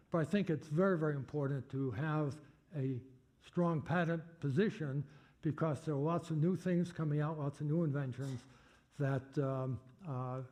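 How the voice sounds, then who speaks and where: An older man with a Boston accent, answering audience questions at a lecture in a halting way with many fillers. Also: on the high side, warm tone. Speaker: guest speaker.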